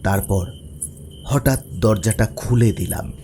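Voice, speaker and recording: A man playing the haunted protagonist of an animated horror story, narrating it in the first person with measured, suspenseful pauses. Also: on the low side, noisy background. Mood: tense, grave. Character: haunted male field worker narrating his ghostly encounter. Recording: music under the voice.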